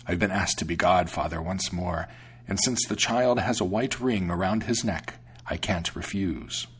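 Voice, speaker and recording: A man voicing a sly, greedy male storybook cat who talks slowly and dryly as he deceives. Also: low-pitched, a bit bright, husky, heavily acted. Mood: wheedling. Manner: slow, dry, drawn-out delivery. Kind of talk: storybook character voice.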